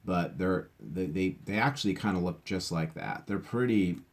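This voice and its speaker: A man's voice: low-pitched, normal speed. A man reading a picture book aloud, adding casual, enthusiastic explanations and asking the listener questions. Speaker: teacher reading aloud to children.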